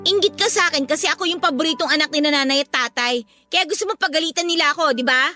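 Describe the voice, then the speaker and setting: Very high-pitched bratty younger sister. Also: female voice, fast talker, pushed and bright, soft background music. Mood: sulky, sarcastic. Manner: sarcastic, whiny, argumentative. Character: bratty, defiant eighteen-year-old younger sister. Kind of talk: Filipino radio drama acting.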